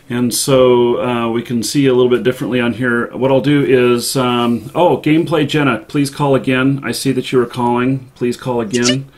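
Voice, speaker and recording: A man hosts a live online show in a casual, chatty way. His voice sits at a middle pitch. He speaks haltingly, feeling his way through each sentence. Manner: halting, hesitant delivery. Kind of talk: casual livestream chat.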